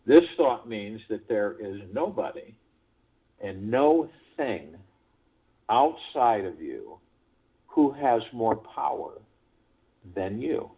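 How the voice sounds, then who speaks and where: A man hosting a guided meditation call, speaking in a measured, deliberate way with pauses between phrases, over a phone conference line. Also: lowish voice, creaky, breathy edge. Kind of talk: guided meditation talk, reading prepared spiritual text. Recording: muffled, lo-fi conference call audio.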